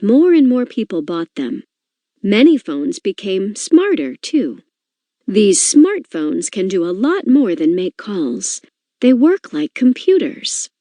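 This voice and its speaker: Mellow, animated woman reading aloud. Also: mid-range voice, dry recording, studio quality. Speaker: reader of a children's nonfiction book. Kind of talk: educational read-aloud narration.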